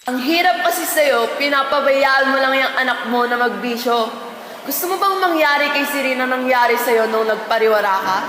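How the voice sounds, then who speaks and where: A woman's voice: sits high, moderate pace, lightly acted. A woman playing a stern, bitter, scolding mother in an amateur school radio drama, recorded in an echoey, noisy room.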